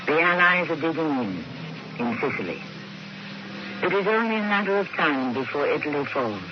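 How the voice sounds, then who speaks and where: A man hosting and narrating a radio drama, with grave, theatrical, deliberate phrasing. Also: fairly high pitch, sing-song. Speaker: host and narrator. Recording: muffled, very noisy old radio recording.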